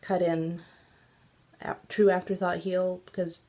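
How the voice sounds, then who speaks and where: A woman's voice: on the low side, level tone, a little slow, dark tone. A stuffy, congested woman hosting a casual knitting video podcast, chatty and rambling with many ums. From Central California. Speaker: podcast host.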